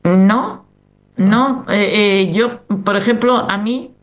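A woman's voice: medium pitch, slow, muffled recording. Middle-aged Cantabrian woman, chatty rambling interviewee, strong regional accent. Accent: Cantabrian Spanish. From Cantabria, Spain. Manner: rambling, conversational. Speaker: choir member, interview guest. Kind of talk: casual interview chat.

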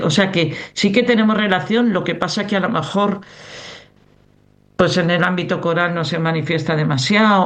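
A middle-aged woman from Cantabria with a strong Cantabrian accent, a choir member chatting loosely as an interview guest. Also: low-pitched. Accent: Cantabrian Spanish. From Cantabria, Spain. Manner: rambling, conversational. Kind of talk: casual interview chat.

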